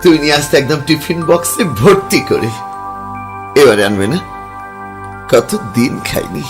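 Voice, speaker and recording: A man's voice: on the high side, expressive, a little slow, music in the background. A man playing a tender, stammering young lover. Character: young man reliving past life as lover. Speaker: actor. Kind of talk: Bengali radio drama acting.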